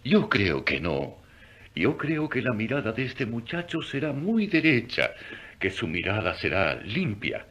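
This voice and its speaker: An old wandering beggar in a radio drama. His voice is husky and moves up and down with plenty of expression. He comes across as kindly and full of hope. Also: mid-range voice, sing-song, measured pace, a bit bright. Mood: assured, gentle. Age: older.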